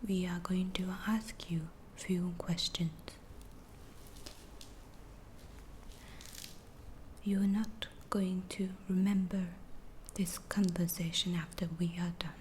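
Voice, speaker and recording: A woman voicing a calm, reassuring alien abductor in an ASMR roleplay, speaking in a soft, breathy whisper with halting phrases. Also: lowish voice, slight background noise, lightly acted.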